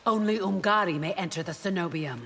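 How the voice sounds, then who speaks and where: A woman's voice: lowish voice, brisk, fairly bright tone, in a large hall, slight background noise. An actress playing a proud, stern female warrior of an imperial guard, speaking formal, disdainful lines in a fighting game. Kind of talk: video game fight banter.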